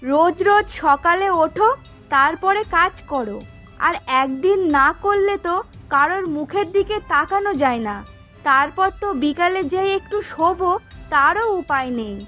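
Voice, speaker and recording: A woman's voice: very high voice, moderate pace, sharp tone, soft background music. A woman voicing a spoiled young wife in a cartoon, sulky and demanding, with a complaining, sarcastic way of talking. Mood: nagging complaint. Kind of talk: Bengali cartoon voice acting.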